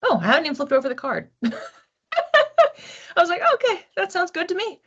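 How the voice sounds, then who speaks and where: A woman's voice: very high-pitched, sing-song, a little fast, a bit bright, highs cut off. A woman hosting a psychic card reading in a casual, conversational way, thinking aloud with informal phrasing as she addresses her audience. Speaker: psychic reader hosting a weekly collective reading.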